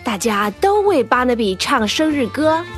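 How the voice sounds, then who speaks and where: A woman narrating a children's audio drama in an expressive storytelling manner, with music behind her. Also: high voice, measured pace.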